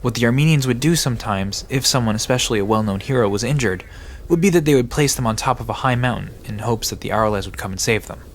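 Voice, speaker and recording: A man plays a friendly guide in an audio drama, explaining legends like a natural storyteller. His voice sits at an ordinary pitch for a man, with light outdoor ambience behind it. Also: expressive, fast, lightly acted. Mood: friendly, informative. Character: friendly park guide fond of myths and mythical dogs. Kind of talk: conversational audio drama acting. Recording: background noise with outdoor ambience.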